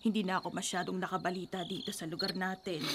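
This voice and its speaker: A woman's voice: lowish voice, little pitch movement, fast, noisy background, close to an everyday voice. A woman playing a caring middle-aged friend who consoles and reassures in a radio drama. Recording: music playing underneath.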